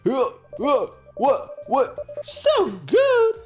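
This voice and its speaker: A man's voice: very high-pitched, wildly swooping pitch, very slow, voice pushed up, full character voice. A man voicing a gushing, easily delighted young diner worker in a game, in an exaggerated, swooning manner. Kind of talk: game dialogue read aloud. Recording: music behind the voice.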